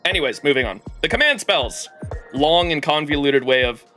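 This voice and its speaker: Bright-voiced man streaming over game music. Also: a little high, lively intonation, moderate pace. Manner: rambling, enthusiastic explainer who swears casually. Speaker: live streamer playing a game. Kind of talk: casual live-stream commentary and explanation.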